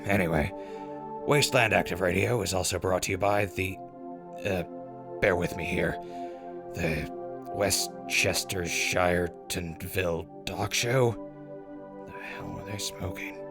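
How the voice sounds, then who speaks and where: A man plays a laid-back wasteland DJ with a low voice in an audio drama. He reads news and ads in a wry, grumbling, informal way over clearly audible music. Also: a little slow, long pauses. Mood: irritated, mocking. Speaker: radio host and news reader. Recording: music behind voice.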